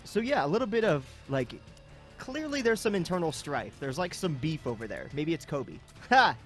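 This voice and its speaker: A man's voice: a little high, sing-song, normal speed, soft background music. A man hosting a casual podcast with jokey, self-mocking banter. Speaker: podcast host.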